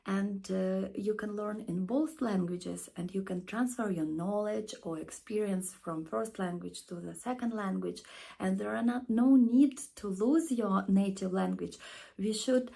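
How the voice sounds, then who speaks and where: Female, Ukrainian accent, lower-pitched fry in places, mid-range voice, sincere personal talk, halting delivery as she searches for words. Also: mellow. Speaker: teacher and speaker.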